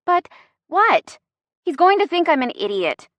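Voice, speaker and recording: A woman's voice: very high-pitched, very animated, a little slow, a bit bright, no room sound, dull audio, pitched above the performer's own voice, exaggerated voice. A woman voicing an impulsive, emotional young woman, the heroine of a romance story, with emphatic delivery. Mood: anguished. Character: impulsive, dramatic, apologetic young heroine in love.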